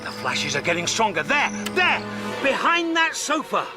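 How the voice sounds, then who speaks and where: A man acting an exasperated, excitable galactic traveller and guidebook researcher in a radio comedy drama, wry and impatient. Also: extremely high pitch, moderate pace, over music, exaggerated voice. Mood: urgent, excited.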